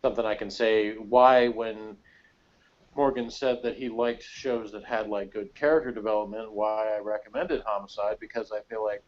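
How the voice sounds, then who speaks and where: A man's voice: fairly low pitch, little pitch movement, normal speed. A man co-hosting a casual, opinionated chat, talking in a meandering, conversational way peppered with "like". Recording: slightly dull, lo-fi voice recording.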